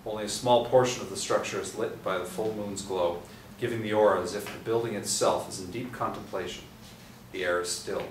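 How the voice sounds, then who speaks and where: Brief: man narrating action lines, steady low voice, faint room noise. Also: fairly quick, reverberant, far from the mic, rough recording. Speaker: narrator reading scene directions. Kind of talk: table read of a screenplay.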